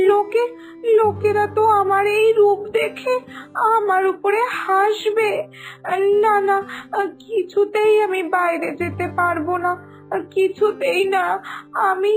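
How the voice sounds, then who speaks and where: Woman as very high-pitched, fretful young cartoon wife. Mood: tearful, distraught. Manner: whiny, stubborn, dramatic. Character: vain, fretful younger daughter-in-law in a cartoon. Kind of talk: animated moral story dialogue.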